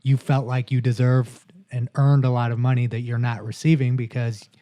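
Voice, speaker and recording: A man's voice: mid-range voice, flat delivery, moderate pace, warm tone, no room sound. A man co-hosting a podcast, chiming in with opinions on the business side of music. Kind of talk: casual interview chat.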